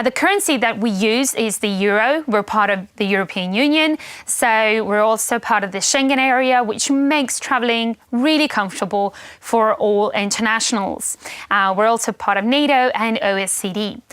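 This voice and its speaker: Estonian lady presenting a webinar, upbeat, really smooth clear voice, estonian accent, dry close sound. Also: fairly high pitch, fairly bright tone, dry recording. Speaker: education marketing presenter.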